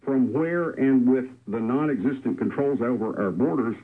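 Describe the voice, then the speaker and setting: Male radio host, 60s, husky and friendly, tinny broadcast audio. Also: medium pitch, normal speed. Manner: friendly, conversational. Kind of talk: hosting a call-in radio show. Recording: lo-fi radio broadcast with faint music.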